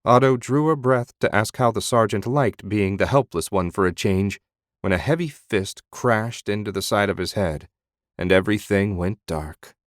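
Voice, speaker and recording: A man narrating a fantasy audiobook in measured storytelling. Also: lowish voice, no room sound, studio quality.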